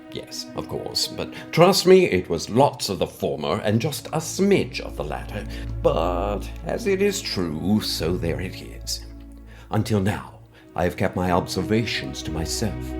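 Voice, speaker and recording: Guy doing a pompous secret benefactor guy, lowish voice w big swoopy delivery, theatrical comedy monologue, dramatic pauses. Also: sing-song, music in the background, heavily acted. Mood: grandiose, secretive. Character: mysterious pompous anonymous benefactor, male, speaking on cassette. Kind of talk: scripted comic monologue.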